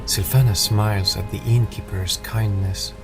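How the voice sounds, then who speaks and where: A man narrating a fantasy audiobook from a script in the first person, with a measured storytelling manner. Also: on the low side, fairly even intonation. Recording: loud music behind the narration.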